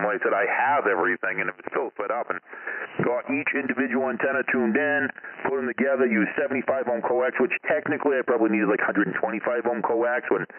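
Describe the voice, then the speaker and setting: A man's voice: medium pitch, slightly rough. A man chatting casually about radio gear as a hobbyist, rambling and swearing freely. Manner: rambling, profane. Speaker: ham-style CB radio hobbyist. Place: two-way CB radio hookup. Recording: tinny CB radio transmission.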